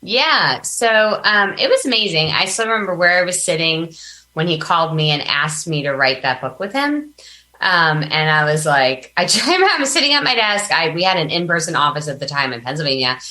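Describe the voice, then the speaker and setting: Casting: woman business coach, low register, bright and punchy, chatty interview delivery. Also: animated, fairly quick. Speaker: podcast guest, business coach and author. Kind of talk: casual interview talk.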